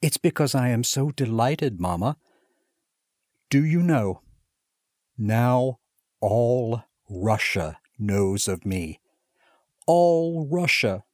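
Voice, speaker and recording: A man's voice: medium pitch, takes it slowly, pauses often, dry recording, studio quality. A man reading the part of an overjoyed young male clerk, who gushes in eager, tumbling exclamations. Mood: elated. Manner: excited, gushing, tumbling exclamations. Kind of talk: audiobook character reading.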